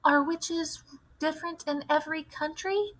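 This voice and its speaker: Woman putting on a high, bright, anxious little boy. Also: normal speed, poor audio. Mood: curious. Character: young boy, anxious, curious, questioning his grandmother. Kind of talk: storybook read-aloud character voice.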